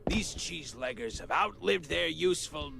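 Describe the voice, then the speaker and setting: A man's voice: fairly high pitch, expressive, moderate pace, gravelly. A man playing a dim, obedient henchman in a video game, muddled and stumbling over his words. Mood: casual, dopey. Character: dim, obedient male henchman plotting dirty work. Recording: loud noise over quiet voice.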